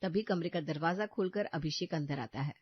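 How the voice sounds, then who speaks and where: A woman's voice: low-pitched, moderate pace, slightly rough, dry recording, lo-fi sound. A woman reading scripted story narration as the storyteller.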